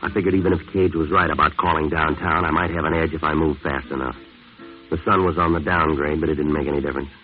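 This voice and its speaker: A man playing a hard-boiled, wisecracking jazz-age antihero in an old radio noir, deadpan, clipped and sardonic. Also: very deep voice, fast, soft background music. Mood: wry, world-weary. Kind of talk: 1950s radio noir narration and acting. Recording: tinny, band-limited vintage radio recording.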